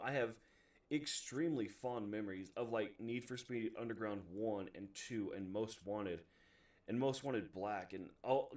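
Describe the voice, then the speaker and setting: A man's voice: mid-range voice, a little mellow, recorded from a distance. A man hosting a video in a casual, opinionated ranting style, emphatic, exasperated and heavy on profanity. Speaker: video host reviewing movies. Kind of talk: casual opinionated rant to camera.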